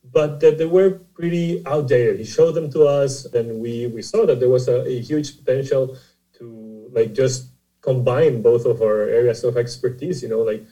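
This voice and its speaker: A man from Costa Rica, a startup CEO, answering interview questions in a halting way, often repeating words as he explains. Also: mid-range voice, fairly even intonation, mellow, rough recording. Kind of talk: conversational interview.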